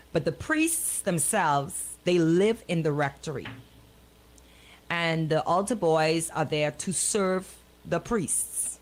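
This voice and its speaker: A woman's voice: deep voice, slight background noise, low-quality recording. A woman hosting a casual live talk show, questioning people incredulously and repeating her words for emphasis. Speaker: radio show host.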